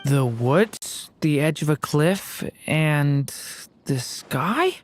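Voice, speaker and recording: Unhurried, self-assured young dragon. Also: male voice, on the high side, expressive, slight background noise. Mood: polite, thoughtful. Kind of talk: video game voice acting.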